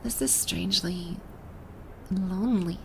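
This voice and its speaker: A woman's voice: on the low side, takes it slowly, gravelly, a little hiss or hum. A woman playing a haughty, easily flustered female final-boss villain from a video game in an ASMR roleplay, with an imperious, indignant manner. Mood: guarded, wistful. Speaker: voice actor.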